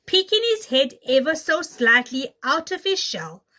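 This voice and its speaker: A woman teacher reading a children's story aloud in an animated way, addressing young children. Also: sits high, measured pace. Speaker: pre-primary teacher, storyteller.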